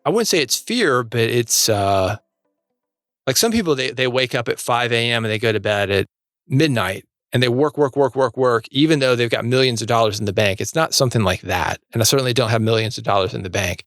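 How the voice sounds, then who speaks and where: Candid man in his forties, medium pitch. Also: brisk, fairly bright tone, no room sound, clean studio sound, faint music behind. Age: 40s. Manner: candid, self-questioning. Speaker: podcast guest, entrepreneur. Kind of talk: casual conversational interview.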